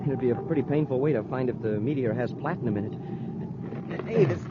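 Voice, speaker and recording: An actor playing an excitable young space cadet sidekick, earnest and deferential, in 1950s radio adventure style. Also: male voice, medium pitch, normal speed, natural voice. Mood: puzzled, earnest. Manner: deferential, earnest, exclaims easily. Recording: dull, noisy old radio recording.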